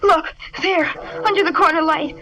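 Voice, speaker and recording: Terrified, shrill young London boy. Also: normal speed, bright, forceful tone, soft background music. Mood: terrified pleading. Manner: breathless, pleading. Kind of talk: old radio drama acting. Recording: noisy old radio recording.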